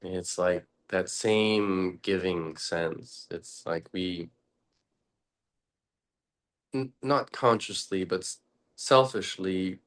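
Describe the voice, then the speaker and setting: A man leading a guided meditation talk as a teacher, speaking deliberately in short, halting phrases with long pauses. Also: low voice.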